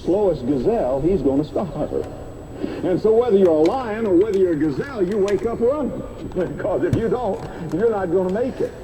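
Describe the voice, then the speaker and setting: A man's voice: lively intonation, moderate pace. A man preaching a fervent sermon over the radio, exhorting emphatically and repeating his phrases. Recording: noisy shortwave radio played through boombox.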